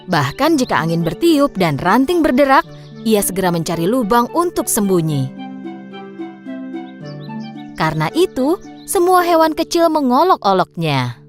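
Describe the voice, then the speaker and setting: A woman narrating a scripted children's story in an expressive storyteller's manner. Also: fairly high pitch, unhurried, long pauses. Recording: music behind the voice.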